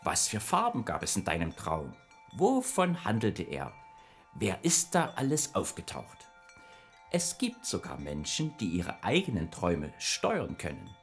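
A man's voice: sits low, wildly swooping pitch, unhurried, faint music behind, exaggerated voice. A man voicing a friendly, chatty nightmare spirit who patiently explains dreams in a children's radio play. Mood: explaining. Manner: explains patiently, evasive then informative.

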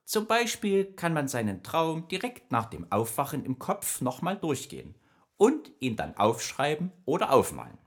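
Man voicing a nightmare spirit, friendly, chatty know-it-all, husky, lively intonation, children's radio play. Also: fairly high pitch, moderate pace. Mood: explaining. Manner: explains patiently, evasive then informative.

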